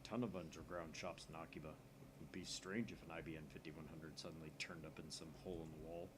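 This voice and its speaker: A man voicing a laid-back young male hacker friend who talks in an offhand, low mumble. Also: fairly even intonation, fast talker, background noise. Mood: explaining.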